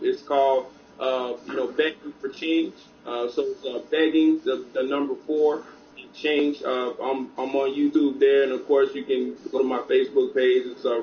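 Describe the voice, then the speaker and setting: A man speaking as a guest on an online talk show, loud and passionate, with many restarts. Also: mid-range voice, dull audio, a little hiss or hum.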